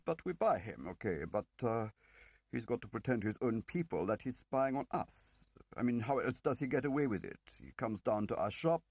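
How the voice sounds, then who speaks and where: A man's voice: medium pitch, darkish tone, dry recording, voice pushed up, close to an everyday voice. A man voicing a defensive, shifty spy in an audiobook, with hesitant, colloquial, foreign-sounding phrasing. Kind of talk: dramatic audiobook character reading.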